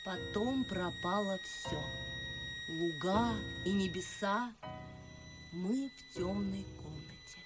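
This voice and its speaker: An actress playing a young well-born daughter in a classic verse radio drama, dreamy and artful, telling things expressively with dramatic pauses. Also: female voice, medium pitch, over loud music, close to an everyday voice. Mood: dreamy storytelling.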